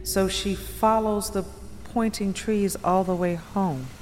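A woman's voice: mid-range voice, fairly even intonation, takes it slowly, a little mellow, close to an everyday voice. A wry, guarded woman played by an actress in an audio drama. She speaks in a middle register with dry understatement. There is faint noise behind her. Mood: wistful, guarded. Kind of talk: naturalistic audio drama acting.

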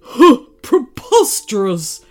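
A woman voicing a proud, blustering male tiger in a children's story, with a gruff, defiant growl. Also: medium pitch, expressive, slow pace, soft background music. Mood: scornful.

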